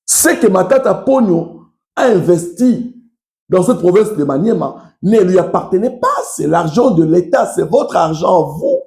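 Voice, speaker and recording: Man, Congolese French accent, native Tshiluba speaker, motivational commentator, emphatic, slightly high voice. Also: animated, measured pace, creaky, warm tone, reverberant. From Kasai, DR Congo. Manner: emphatic, repetitive, addresses listeners directly. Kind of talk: impassioned political commentary to followers.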